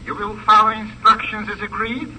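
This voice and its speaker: An actor playing a menacing, mocking criminal in a 1950s radio crime drama, speaking with formal, measured politeness. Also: male voice, sits high, monotone, natural voice. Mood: coldly businesslike. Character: menacing, precise, mocking male criminal on telephone. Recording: old radio recording, faint noise.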